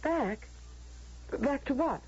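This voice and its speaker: An actress playing an anguished young violinist in an old-time radio drama, breathless, hesitant and dramatic. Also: female voice, sits high, expressive, slow pace, darkish tone. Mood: torn, hesitant. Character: anguished young woman violinist torn between dream and reality. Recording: muffled old radio broadcast recording.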